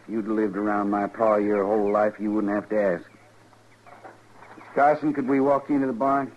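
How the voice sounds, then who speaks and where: An actor playing a rancher's quiet, conciliatory grown son with a rural American accent in radio western style. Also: male voice, lowish voice, fairly even intonation, normal speed, close to an everyday voice. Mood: guarded, earnest. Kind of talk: 1950s radio western acting. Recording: dull, noisy vintage radio recording.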